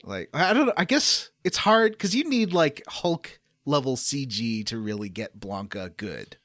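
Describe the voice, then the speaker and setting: A man's voice: mid-range voice, animated, a little slow, a bit bright, no echo, studio quality. A man chatting casually as a podcast host about games, jokey and acting out characters' lines as he recaps. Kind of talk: casual gaming podcast chat.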